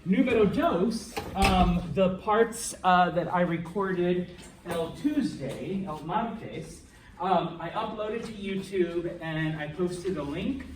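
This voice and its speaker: A male language instructor giving a relaxed classroom lecture, joking and checking in with students often. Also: high voice, takes it slowly. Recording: echoey classroom from a distance, faint noise.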